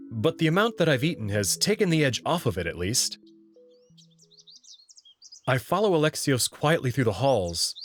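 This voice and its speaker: A man with a mid-range voice narrates a first-person story. His intonation is highly varied as he shifts between description and dialogue, and music plays clearly behind him. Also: a bit bright. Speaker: narrator and player reader.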